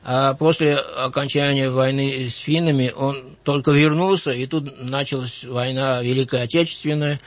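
A man in his sixties from Georgia with a light Georgian accent, a writer recalling his life in an interview, measured and thoughtful with frequent hesitant fillers. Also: mid-range voice, fairly even intonation, dry recording. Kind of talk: reflective interview recollection.

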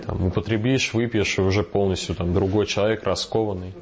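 Reflective young man with a low voice. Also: steady tone, brisk, slight background noise. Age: 20s. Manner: reflective, self-deprecating. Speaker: interviewee in rehab. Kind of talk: candid interview answer.

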